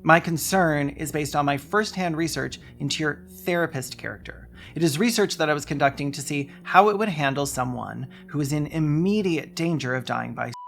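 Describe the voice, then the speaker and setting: A narrator reading a scripted video essay in a measured way, including emails read aloud. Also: medium pitch, vocal fry, fairly bright tone.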